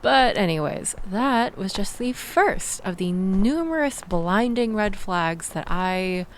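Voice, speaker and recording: A woman's voice: mid-range voice, animated, takes it slowly, a little hiss or hum, low-quality recording. A woman playing a chatty, exasperated young woman in a casual audio roleplay, rambling and self-deprecating as she tells her story. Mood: self-deprecating, amused. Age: young adult.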